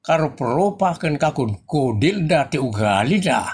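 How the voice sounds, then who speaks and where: A man with an Ilocano accent acting a grumbling, excitable older husband and father in a comedy audio drama. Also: a little high, unhurried, background noise. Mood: indignant. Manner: exclamatory, complaining.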